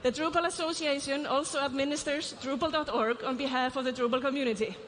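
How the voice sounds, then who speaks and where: High-voiced Icelandic woman on stage. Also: steady tone, quick pace, fairly bright tone, slight background noise. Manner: asks the audience questions, light asides. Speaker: keynote speaker, CEO. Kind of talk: conference keynote. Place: large conference stage. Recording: reverberant hall, faint ambience.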